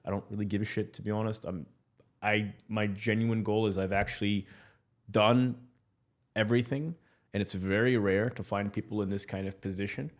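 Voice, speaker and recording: A man in his thirties from Morocco, speaking as a podcast guest in a low voice. He talks candidly and bluntly, restarting his sentences and leaning on fillers as he goes. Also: fairly even intonation, moderate pace. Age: 30s. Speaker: guest entrepreneur. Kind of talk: casual podcast interview.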